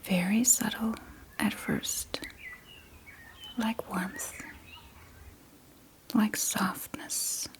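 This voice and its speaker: A woman softly guiding a sleep meditation in a breathy ASMR whisper, soothing and gentle, with long pauses between short phrases. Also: medium pitch, fairly even intonation. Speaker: meditation guide.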